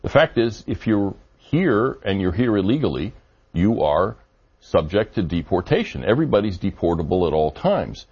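A man with a low, somewhat husky voice answers interview questions in a measured, argumentative way, often pausing on fillers. The audio sounds dull and lo-fi. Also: sing-song, a little mellow. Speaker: immigration policy guest.

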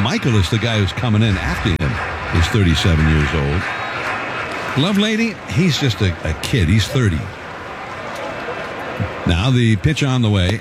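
A male play-by-play announcer calling a baseball game in a conversational, measured way. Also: background noise. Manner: conversational, measured, calls pitches with rising excitement. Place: stadium broadcast booth.

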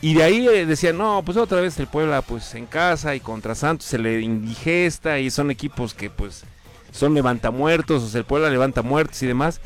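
A man's voice: on the high side, moderate pace, faint music behind. A man, chatting casually about football on a show, rambling with many fillers. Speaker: sports commentator on a radio show. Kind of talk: casual sports chat.

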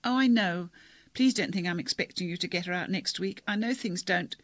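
A narrator voicing a tearful, anxious teenage girl who keeps apologizing, in a high, breathless, rushed way. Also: very animated, fairly bright tone, no room sound. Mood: flustered.

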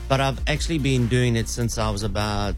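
South African male host in his forties, chatty and halting, at an ordinary pitch over soft music. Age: 40s. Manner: storytelling, stammering restarts. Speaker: radio host. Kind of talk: casual morning-show banter.

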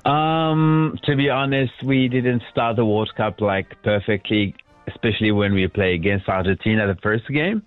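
A man from Algeria with an Algerian accent, a native Arabic speaker calling in to radio, speaking in halting, simple phrases. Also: fairly low pitch, dry recording, soft background music. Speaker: radio caller. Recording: lo-fi phone line.

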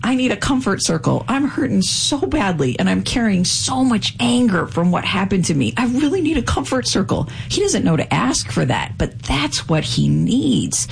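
A co-host on a call-in radio show who counsels a caller in a warm, emphatic way. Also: mid-range voice, sing-song, normal speed, creaky, few pauses, slight background noise. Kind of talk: call-in advice talk.

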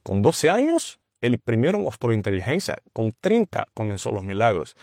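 A man's voice: mid-range voice, very animated, darkish tone, dry recording, studio quality. A Brazilian man whose first language is Portuguese, a teaching minister speaking earnestly as a guest and sometimes stumbling over words. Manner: earnest, halting, repeats words while searching for Spanish. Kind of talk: interview conversation on faith.

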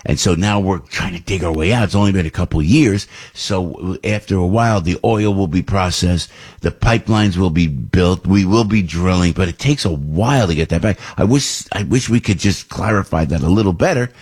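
Husky older man hosting talk radio. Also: deep voice, fairly quick, a little mellow. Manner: rambling, chatty, with frequent restarts and mock voices. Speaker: radio talk show host. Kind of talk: casual political talk radio monologue.

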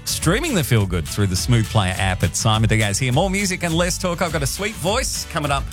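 A man presenting on music radio, speaking in a smooth, laid-back way. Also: medium pitch, big pitch swings, fairly quick. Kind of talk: relaxed radio link.

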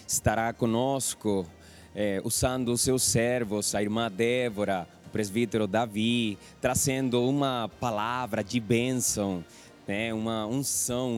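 Venezuelan man giving calm testimony. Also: mid-range voice. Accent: Venezuelan Spanish. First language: Spanish. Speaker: church member giving testimony. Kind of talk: earnest testimony.

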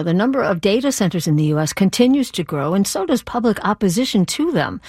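An American woman reads scripted introductions as a news host. Her voice is on the low side. The recording is very dry and close to studio sound. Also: darkish tone, dry recording.

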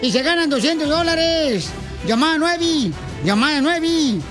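Very high-pitched male radio host. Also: expressive, unhurried, music in the background. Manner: playful, uses slangy nicknames. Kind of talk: call-in prize contest chatter.

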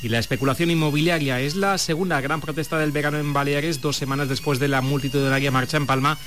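A man's voice: on the high side, fairly even intonation, fast, fairly bright tone, slight background noise. A man with a Spanish accent from Spain delivering a radio news report.

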